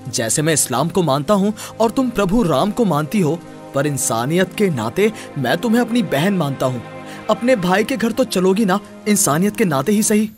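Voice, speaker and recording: A man's voice: sits high, expressive, normal speed, faint music behind. A man voicing an honest, protective brother with an earnest, reassuring manner, in a moral story.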